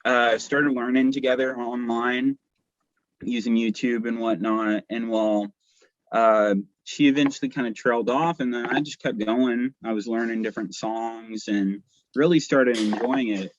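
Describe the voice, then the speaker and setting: A man's voice: medium pitch, steady tone, normal speed, no room sound. A young man chatting casually and telling personal stories as a guest, heard over a muffled internet call. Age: young adult. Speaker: podcast guest. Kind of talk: casual chat, personal storytelling. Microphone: video call.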